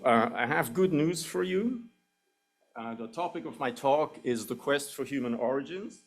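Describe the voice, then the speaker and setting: A middle-aged man with a Swiss accent, English not his first language, giving a public lecture in a joking, explanatory way. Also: mid-range voice. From Switzerland.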